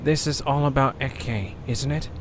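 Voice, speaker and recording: A man voicing a small, clumsy male creature with a propeller, speaking softly and earnestly in an amateur audio drama. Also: mid-range voice, unhurried, very noisy, close to an everyday voice. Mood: caring.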